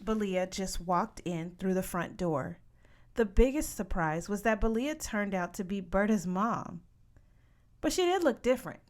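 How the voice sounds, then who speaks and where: A woman reading a children's novel aloud as an expressive audiobook narrator. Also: mid-range voice. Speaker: audiobook reader.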